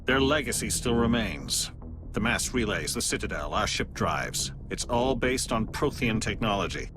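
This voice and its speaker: Game alien operative: low voice with wide swings, measured, formal, quietly probing, music underneath. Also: male voice, very animated. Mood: grave, measured. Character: composed, watchful alien Spectre agent, a male outsider evaluating humans. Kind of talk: video game cutscene acting.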